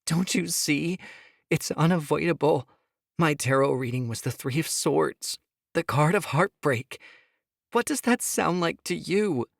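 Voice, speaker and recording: A man's voice: on the high side, lively intonation, a little slow, vocal fry, dry recording, studio quality, pitched above the performer's own voice, heavily acted. A frightened young mother pleading through tears, as a male narrator puts her on. The voice is lifted well above the reader's own and pushed hard with strain. It is a storybook figure, anxious and despairing.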